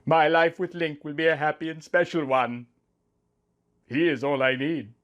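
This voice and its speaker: A man's voice: a little high, steady tone, moderate pace, fairly bright tone, dull audio. A man reading a scripted first-person story as narrator, with music behind him.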